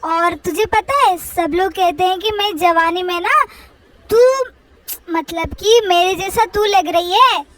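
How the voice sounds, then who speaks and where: An anxious, affectionate Indian-family-drama mother, acted out by a woman storyteller, fussing over her daughters. The voice is extremely high and bright, full of exclamations in a play-acted story. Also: slow pace, a little hiss or hum, full character voice. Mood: doting.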